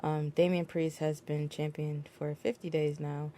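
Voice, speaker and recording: Low-voiced woman hosting a casual podcast. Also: measured pace, slight background noise. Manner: relaxed, many fillers, laughs easily. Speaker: podcast host. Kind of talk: casual chat.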